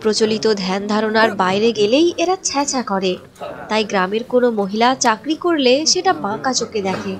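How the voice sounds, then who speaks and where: Female story narrator, higher-pitched voice, scripted delivery, clear music bed.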